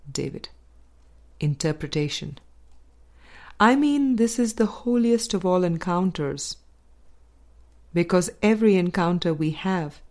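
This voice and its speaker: Woman reading a spiritual audiobook, lowish voice, really slow and deliberate. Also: a little mellow. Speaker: audiobook reader. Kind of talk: scripted narration of a spiritual dialogue book.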